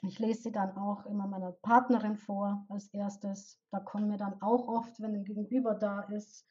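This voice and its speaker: A woman's voice: medium pitch, level tone, dark tone, slightly rough, lo-fi sound. Bavarian woman with rolled R. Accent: light Bavarian, rolled R. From Bavaria, Germany. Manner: thoughtful, explains with pauses and restarts. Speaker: poet, interview guest. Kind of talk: casual interview.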